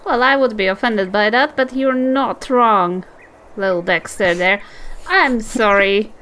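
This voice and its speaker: A woman voicing an old half-elf granny druid in a tabletop game, kindly and gently chiding, with drawn-out, sympathetic phrasing. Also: medium pitch, smooth, natural voice. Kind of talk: tabletop roleplay character voice.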